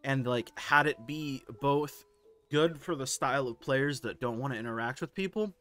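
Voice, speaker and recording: A hoarse man with a scratchy, sore-throated voice talks through gaming forum posts in a casual, candid way. His pitch sits mid-range for a man, and faint music plays underneath. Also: moderate pace, a bit bright. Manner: laid-back, candid, occasional swearing. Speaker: gaming video host.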